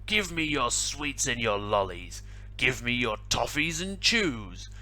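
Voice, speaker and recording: Man voicing a storybook robber rat, greedy, bullying, loud, bright tone, lively pitch. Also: slow, exaggerated voice. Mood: bellowing greedy. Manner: loud, blustering demands. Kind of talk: picture-book read-aloud.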